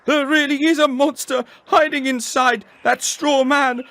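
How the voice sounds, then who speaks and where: A man's voice: very high voice, steady tone, measured pace, bright, forceful tone, pitched above the performer's own voice, exaggerated voice. A man voicing a boastful but easily frightened male crow in a children's story, brash and dramatic. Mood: panicked.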